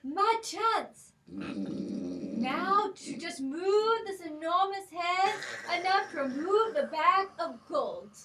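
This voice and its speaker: A woman doing a plucky, bright-voiced heroine girl. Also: extremely high pitch, wildly swooping pitch, slow pace, far from the mic, full character voice, poor audio. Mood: sneaky. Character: plucky, clever young heroine girl, cheeky and brave. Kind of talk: children's puppet show.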